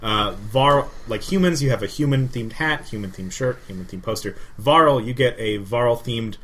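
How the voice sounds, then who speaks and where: A man's voice: medium pitch, moderate pace, fairly bright tone. A man chatting casually and enthusiastically as a podcast co-host, with slangy, sweary talk.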